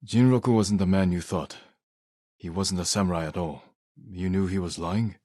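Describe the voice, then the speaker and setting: A man voicing a stoic, honorable samurai warrior in a video game, speaking in a measured, solemn and restrained way. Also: low voice, darkish tone, no echo, clean studio sound. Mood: firm, insistent. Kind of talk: dramatic video game voice acting.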